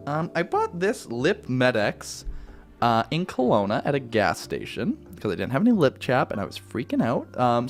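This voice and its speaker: A young man from Canada with a Canadian accent, answering viewer questions in a rambling, laid-back way that keeps correcting itself. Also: high voice. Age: young adult. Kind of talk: casual Q&A chat.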